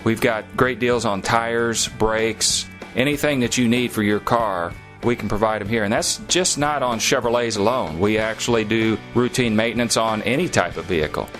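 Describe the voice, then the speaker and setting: Male dealer spokesman, lowish pitch, plain-spoken radio ad over soft guitar music. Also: normal speed.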